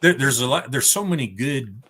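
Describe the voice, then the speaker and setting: A man on a discussion panel, chatting casually about films in a measured, reflective manner. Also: medium pitch, lively intonation, sharp tone, slightly rough. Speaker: roundtable panelist.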